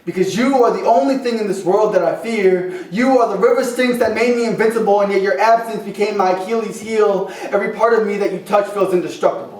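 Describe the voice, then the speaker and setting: A man with a fairly high voice recites slam poetry with fervent, rhythmic phrasing. He leans on dramatic pauses and rhyme. The sound is echoey, picked up some way from the microphone in a live venue. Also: darkish tone, recorded from a distance. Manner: impassioned, dramatic pauses, rhythmic rhyming delivery. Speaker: slam poet. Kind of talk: performed slam poetry at an open mic. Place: open mic venue with a small responsive audience.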